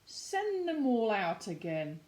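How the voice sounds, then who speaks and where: A wise old storybook man, as a woman reads him, calm and deliberate. He gives his instructions slowly, in a fairly high, melodic voice. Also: reverberant, full character voice, low-quality recording. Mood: calm, instructing.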